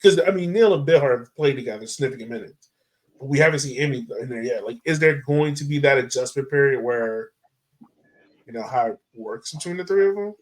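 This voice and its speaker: A man co-hosting a sports podcast in informal, halting chat peppered with filler words. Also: mid-range voice, lively intonation, poor audio.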